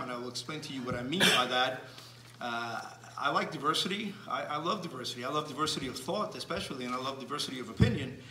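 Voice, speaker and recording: A man's voice: medium pitch, distant mic, background noise. Cuban-born man lecturing in an echoey hall. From Cuba. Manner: measured, frequent restarts and fillers. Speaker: speaker giving a talk. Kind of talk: lecture to an audience.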